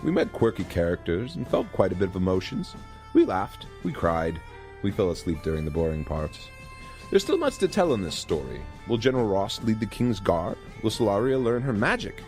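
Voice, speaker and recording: A man narrating a comic fantasy drama from a script in a mock-dramatic manner. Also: on the low side. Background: loud music.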